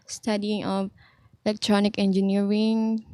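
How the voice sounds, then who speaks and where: A twenty-year-old woman, introducing herself shyly and haltingly as a podcast guest. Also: mid-range voice, level tone, dark tone, clean tone, no echo, studio quality.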